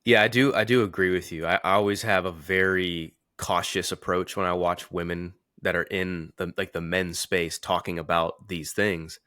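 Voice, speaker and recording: A man with a very deep yet bright voice talks like a podcast host in casual conversation. His wording is informal and slangy, and the recording is dry and close. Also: fairly even intonation, normal speed, few pauses, dry recording. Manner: informal, slangy, occasional swearing. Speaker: podcast host and men's confidence coach.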